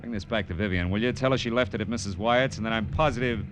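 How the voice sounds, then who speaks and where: A man's voice: lowish voice, quick pace, background noise, natural voice. An American man playing a tough, world-weary private detective in an old radio noir drama, clipped and slangy, narrating and talking bluntly. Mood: grim. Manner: clipped, hard-boiled, slangy. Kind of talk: 1940s-50s radio noir acting and first-person narration. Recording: vintage radio recording with music behind.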